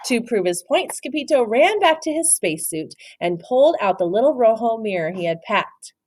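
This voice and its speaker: A woman reading a picture book aloud in an expressive storytelling style for children. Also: on the high side, normal speed, a little hiss or hum. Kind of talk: animated children's read-aloud narration.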